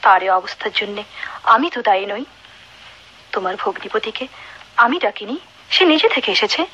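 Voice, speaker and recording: A woman's voice: mid-range voice, animated, moderate pace, pushed and bright. An actress with a Bangladeshi accent playing a proud, flirtatious glamorous woman who hides her sorrow, theatrical and defiant in a radio drama. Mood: defiant, teasing. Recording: dull, noisy old radio recording.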